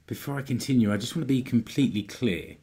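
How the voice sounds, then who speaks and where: A slightly hoarse man, somewhat croaky from a sore throat, hosting a solo business podcast and explaining things in a plain, steady way. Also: mid-range voice, fast talker, loud music behind the voice. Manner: explanatory, plain-spoken, occasional restarts. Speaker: podcast host.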